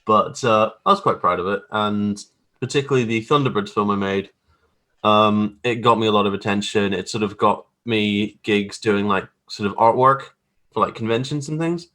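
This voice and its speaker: A man's voice: on the low side, moderate pace, sharp tone. A man in his thirties with a Belfast accent, telling anecdotes in an informal, rambling, self-deprecating way. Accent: Belfast, Northern Irish. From Northern Ireland. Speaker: podcast guest, graphic artist. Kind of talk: casual chat, anecdotes.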